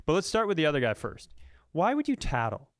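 A man's voice: on the high side, huge pitch swings, a little fast, dry recording, studio quality. An American man co-hosting a comedy podcast, ranting casually in a blunt, profane, mocking way.

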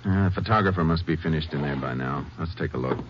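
An American actor playing a calm, courteous middle-aged Texas Ranger investigator in a 1950s western radio drama, with a measured, laconic way of talking. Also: male voice, extremely low pitch. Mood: grave, businesslike. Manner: measured, laconic, polite questioning. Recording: muffled, noisy 1950s radio recording.

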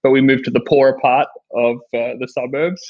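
A man's voice: mid-range voice, a little fast. Australian man, joking, slightly dull sound. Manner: animated, joking storyteller. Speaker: worship songwriter guest. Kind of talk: casual podcast chat.

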